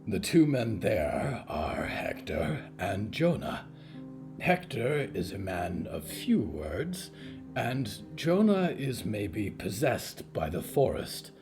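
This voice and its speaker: A man voicing a gentle, matter-of-fact undead character with stilted, formal, careful wording in an audio drama. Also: fairly low pitch, big pitch swings, takes it slowly, music in the background, heavily acted. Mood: calm, explanatory.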